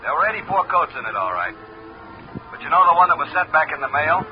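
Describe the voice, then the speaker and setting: A man's voice: on the high side, big pitch swings, quick pace. An actor playing a businesslike, insistent male client in a 1950s radio detective drama. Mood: urgent. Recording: muffled old radio, faint music behind.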